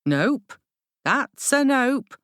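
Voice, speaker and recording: A woman's voice: high-pitched, sing-song, drawn-out words, fairly bright tone, no echo, studio quality, voice pushed up, exaggerated voice. A woman reading an audiobook, voicing a blunt, grumbling woman learner who questions things in a colloquial, querulous way. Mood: grumbling, reluctant. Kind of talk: audiobook character dialogue.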